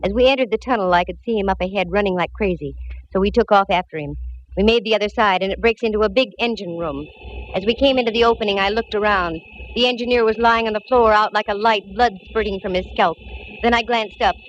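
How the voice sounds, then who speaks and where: An actress playing a tough, wisecracking female private eye, narrating in brisk hard-boiled style on a 1940s radio drama. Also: medium pitch, slight background noise, close to an everyday voice. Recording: dull old radio broadcast with music.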